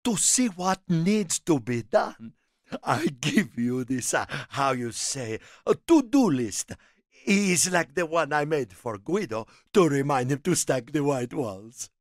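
A man voicing a small, excitable, proud Italian car in a video game, with an Italian accent and theatrical broken English. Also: fairly high pitch, takes it slowly, no room sound, studio quality. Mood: friendly, confiding. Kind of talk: animated video game character lines.

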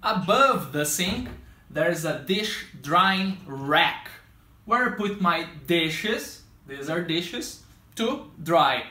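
A man in his thirties gives a vocabulary lesson in a bright, forward voice. He speaks very slowly and deliberately, enunciating and repeating each key term. The sound is echoey, from a camera a few steps away in a small kitchen. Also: a little high, expressive. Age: 30s. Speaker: English teacher. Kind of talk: vocabulary lesson, demonstrating words. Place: small home kitchen, indoors.

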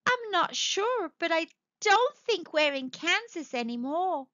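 A woman's voice: very high voice, very animated, measured pace, pushed and bright, dry recording, muffled recording, voice pushed up, full character voice. A woman voicing an earnest, wide-eyed, pleading little girl from a storybook. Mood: wondering. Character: Dorothy, small earnest homesick storybook girl.

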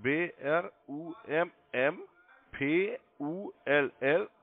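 Call center guy, 30s, slightly high voice, polite step by step instructions, tinny phone line. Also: drawn-out words, no room sound. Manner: formal, polite, spells things out. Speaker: call-centre agent. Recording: narrow phone-line sound.

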